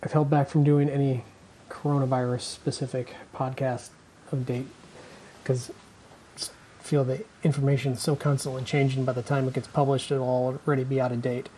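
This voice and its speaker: A man's voice: medium pitch, little pitch movement, normal speed, mellow, slight background noise, low-quality recording. A man hosting a podcast, giving informal commentary. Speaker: podcast host.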